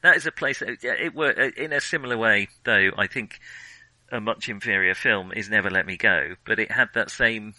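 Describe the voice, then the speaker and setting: A man's voice: fairly low pitch, fairly quick, bright, forceful tone, dry recording, low-quality recording. A man co-hosting a film podcast in casual conversation, hesitant and reflective with a wry streak.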